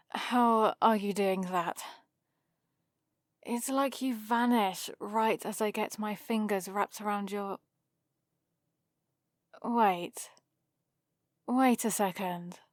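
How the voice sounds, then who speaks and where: A woman's voice: mid-range voice, no echo. A woman playing a haughty, sarcastic centuries-old vampire librarian in a scripted ASMR roleplay, with a dry, theatrical delivery. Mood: puzzled.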